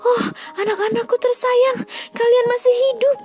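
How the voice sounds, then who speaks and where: A loving, anxious mother sheep from a storybook, voiced by a woman. The voice is high and full of motherly feeling, over faint music. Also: flat delivery, normal speed, a little mellow. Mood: tearful relief. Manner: emotional, motherly.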